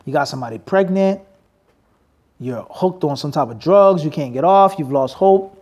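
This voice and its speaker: High-pitched male teacher, punchy rhetorical style, mosque talk. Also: lively intonation, normal speed, a little mellow, muffled recording. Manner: emphatic, asks rhetorical questions to the crowd. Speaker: Islamic teacher. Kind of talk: lecture with Q&A.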